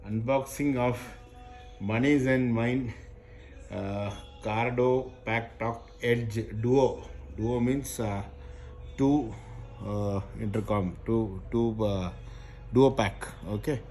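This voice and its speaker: A man doing a casual, unscripted product unboxing, haltingly thinking aloud as he goes. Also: medium pitch, darkish tone, faint music behind. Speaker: unboxing presenter. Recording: noisy recording with crinkling packaging. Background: handling and crinkling of packaging.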